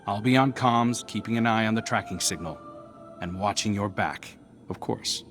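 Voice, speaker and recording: Low, wry roguish scout played by a man. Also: normal speed, music in the background, natural voice. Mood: encouraging. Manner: casual, teasing, reassuring. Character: roguish, wry, friendly male scout briefing an ally. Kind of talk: video game voice acting.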